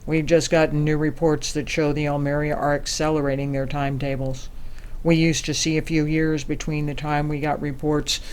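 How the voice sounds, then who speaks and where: Woman doing a calm lady ambassador in a space audio drama, formal and in charge, measured delivery. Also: deep voice, a little hiss or hum, close to an everyday voice. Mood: grave. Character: composed female ambassador leading a secret space mission. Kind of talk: audio drama acting, briefing a crew.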